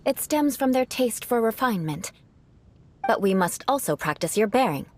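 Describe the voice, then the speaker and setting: A woman's voice: on the high side, lively intonation, normal speed, a little hiss or hum, lightly acted. An actress playing a proud, aristocratic young female knight in a video game, formal and composed in her speech. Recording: game audio with faint music. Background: faint game music.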